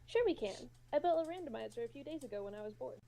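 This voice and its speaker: An easygoing, enthusiastic big guy, played by a woman in an amateur audio drama. The voice is mellow and on the high side, with quick, eager, friendly delivery. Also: lively intonation, creaky. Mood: upbeat. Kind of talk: amateur fan fiction group reading.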